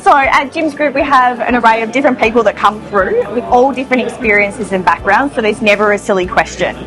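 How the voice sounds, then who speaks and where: Upbeat woman, training manager, bubbly and loud, music bed. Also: on the high side, a little fast, rough recording. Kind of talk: interview answers. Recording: music bed under the talk.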